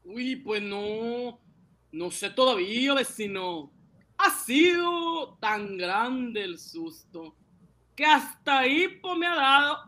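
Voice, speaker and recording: A man acting a simple, excitable, poor ice-cream vendor and husband in a radio serial, with folksy, naive exclamations. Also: extremely high pitch, slow pace, exaggerated voice. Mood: hesitant.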